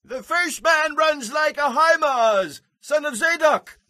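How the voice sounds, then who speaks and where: An alert rooftop watchman, acted by a man in a scripture audiobook drama. He calls out in a bright voice pushed very high. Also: slow pace, no room sound, studio quality, exaggerated voice. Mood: urgent, alert. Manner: calling out.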